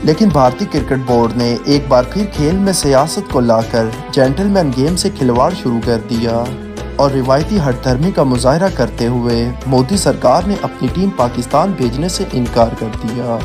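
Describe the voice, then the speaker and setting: A man with a Pakistani accent delivers a scripted news report. His voice sits at an average male pitch and his delivery is formal and declarative. Music plays clearly behind him throughout. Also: expressive, moderate pace. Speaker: news narrator. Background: background music.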